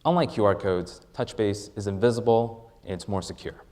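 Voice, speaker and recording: A man presenting a rehearsed product pitch as a startup presenter, with deliberate, emphatic phrasing. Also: mellow.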